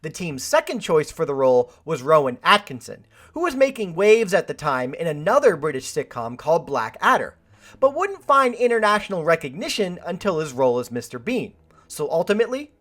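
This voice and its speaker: Female film review host, slightly low and animated, scripted narration with a wry streak. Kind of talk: scripted video essay narration.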